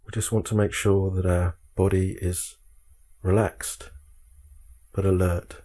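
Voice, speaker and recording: A man guiding a meditation, speaking calmly in measured short phrases with frequent pauses. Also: sits low. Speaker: meditation guide. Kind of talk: guided meditation instruction.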